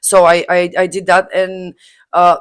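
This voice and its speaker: A woman in her thirties from Bulgaria, speaking English with a Bulgarian accent, chatting as an interview guest with many pauses and fillers. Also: on the low side, completely flat delivery. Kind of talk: casual interview chat.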